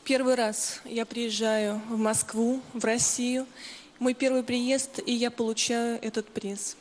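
Female interpreter, fairly high voice, even delivery, long hall reverb. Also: a little slow. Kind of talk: consecutive interpreting. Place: large concert hall. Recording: hall reverb, faint noise.

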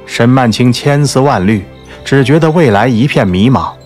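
A man's voice: medium pitch, expressive, moderate pace, a little mellow, soft background music. A man narrating a novel as a scripted literary audiobook.

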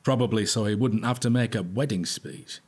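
A British actor playing a dry, weary older detective inspector at home in an audio drama. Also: male voice, fairly low pitch, a little fast, breathy edge. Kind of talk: naturalistic audio drama dialogue.

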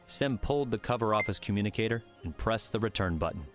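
Male narrator, low register, scripted fiction reading over soft music. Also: animated, fast talker. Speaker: audiobook narrator.

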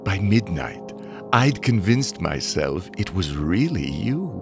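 A man playing a sinister, brooding middle-aged criminal mastermind, telling his dark memories in a deliberate, theatrical, menacing way for a motion comic. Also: deep voice, big pitch swings, creaky, music in the background, full character voice. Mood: brooding, morbid. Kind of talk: dramatic comic-book monologue.

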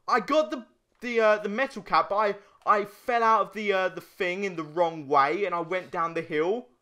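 A man's voice: high-pitched, moderate pace, bright, forceful tone. A man co-hosting a let's play, giving chatty, casual gaming commentary with some swearing.

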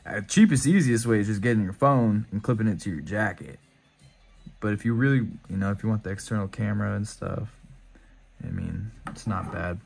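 A man's voice: on the low side, brisk, gravelly, a little mellow, soft background music. A man casually walking through his motorcycle camera and mic setup in a laid-back, rambling way, often correcting himself. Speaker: motovlogger. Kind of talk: casual gear walkthrough.

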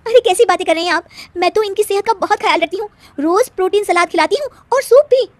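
A woman's voice: very high-pitched, fast, no echo, voice pushed up. A woman voicing an earnest young daughter-in-law in a Hindi cartoon moral story, insistent and emphatic. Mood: alarmed.

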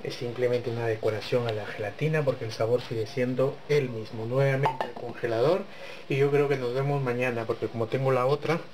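A man's voice: medium pitch, fairly even intonation, fairly quick, noisy background. A man hosting a home cooking channel, talking viewers through a dessert step by step in his kitchen. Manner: chatty, talks to viewers while working. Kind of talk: casual cooking tutorial.

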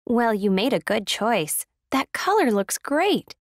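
A woman's voice: on the high side, very animated, slow pace, no room sound, clean studio sound, full character voice. An actress playing an enthusiastic, friendly woman in a scripted language-course dialogue.

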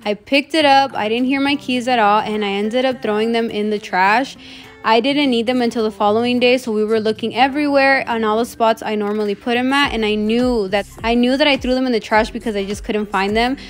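A woman's voice: a little high, steady tone, brisk. A woman in her thirties casually narrating her home cleaning in a voice-over. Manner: casual, chatty, matter-of-fact. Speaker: cleaning vlogger. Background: background music.

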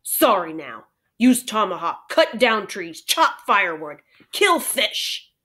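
A woman's voice: mid-range voice, big pitch swings, takes it slowly, bright, forceful tone, exaggerated voice. Proud little warrior, blunt short phrases; woman reader voices him. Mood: demanding. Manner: clipped broken phrases. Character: small proud male warrior, terse and demanding.

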